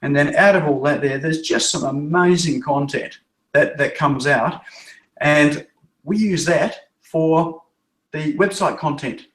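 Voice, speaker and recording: A man with a New Zealand accent talks through his answers in a chatty way, often restarting and repeating words. His voice sits a little high. The room around him rings with echo. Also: a little slow, fairly bright tone. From Norfolk Island. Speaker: web developer guest. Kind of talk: interview answers.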